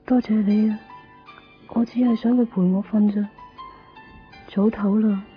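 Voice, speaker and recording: A woman's voice: medium pitch, steady tone, measured pace, close to an everyday voice. An actress playing a frail, wistful young woman patient, pleading and sighing drowsily in a radio drama. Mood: sleepy pleading. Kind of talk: radio drama acting with first-person monologue. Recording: tinny band-limited 1990s radio, faint music.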